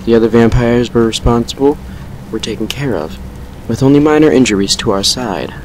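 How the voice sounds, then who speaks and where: A man playing a brooding vampire who recounts a painful past with measured regret in an audio drama. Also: medium pitch, a little mellow, slight background noise, close to an everyday voice, rough recording. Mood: subdued, regretful. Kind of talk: fan fiction audio drama monologue.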